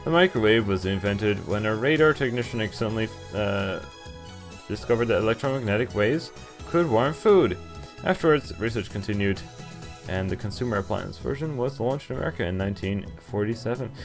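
A man's voice: fairly low pitch, lively intonation, fairly quick. A young man hosting a gaming video, reading on-screen story text aloud and adding casual comments. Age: young adult. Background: game music.

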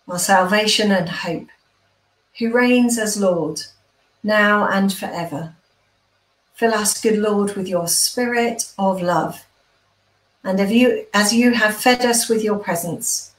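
A woman's voice: medium pitch, distant mic. A middle-aged British woman speaking as a vicar leading an online church service from home over a video call. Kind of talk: informal notices and spoken prayer. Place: small room at home, indoors. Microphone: computer microphone on a video call. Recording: roomy echo on a video call.

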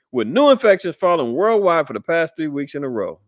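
A man's voice: a little high, very animated, normal speed, dry recording. Rambling skeptical man, dry close sound. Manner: rambling, skeptical, many fillers and restarts. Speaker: podcast host commenting on news. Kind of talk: casual commentary reading an article.

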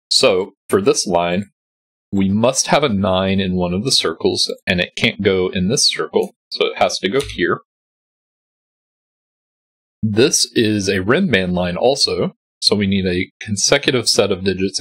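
A man's voice: on the low side, husky. Stuffy-nosed man explaining a puzzle. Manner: patient, explanatory, thinking aloud. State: stuffy, congested. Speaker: host solving a sudoku puzzle. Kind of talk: calm step-by-step puzzle walkthrough. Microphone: voice-over on a screen recording.

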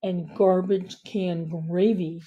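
A woman voicing a dim, greedy male badger sidekick in a storybook reading, drawing his words out loudly. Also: lowish voice, steady tone, slow, highs cut off. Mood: eager.

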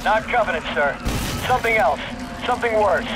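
Terse marine sergeant on radio. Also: male voice, high voice, expressive, brisk, music in the background, poor audio.

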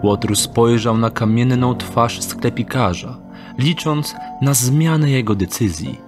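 A man narrating an audio drama in a measured, descriptive way. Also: medium pitch, music in the background.

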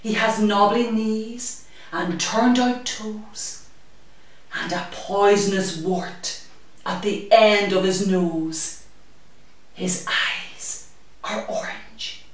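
A woman reading a picture book aloud to young children, expressively and with a deliberate sing-song rhythm. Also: medium pitch, steady tone. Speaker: children's story-time reader. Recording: very echoey room, distant microphone.

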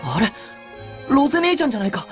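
Lively, brash boyish dog-boy hunter. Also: sits high, fast, soft background music. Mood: musing, hesitant. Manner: casual, boyish, rough informal speech. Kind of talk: Japanese anime radio drama acting.

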